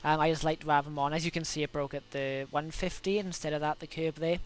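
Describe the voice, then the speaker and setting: A man's voice: fairly high pitch, steady tone, fast talker. Welsh man talking through his racing laps. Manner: chatty, self-correcting, many fillers. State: a cold coming on, voice rough. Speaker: gaming video host. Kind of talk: casual gameplay commentary.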